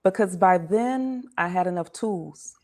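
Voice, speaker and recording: A woman in her forties from Washington, D.C., talks through her story as an interview guest. Her voice sits fairly low, and she speaks in a measured, reflective way, letting many sentences settle softly at the end.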